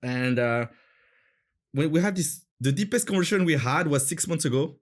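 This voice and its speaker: A man's voice: on the high side, lively intonation, moderate pace. A man in his thirties from France with a French accent, chatting casually as an interview guest, searching for words with many fillers and restarts.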